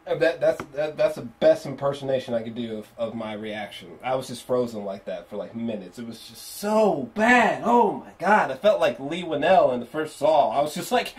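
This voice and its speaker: A man giving a casual spoken movie review, swearing freely and repeating phrases for emphasis in an animated, emphatic way. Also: medium pitch, normal speed, few pauses, slight background noise. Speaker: film reviewer.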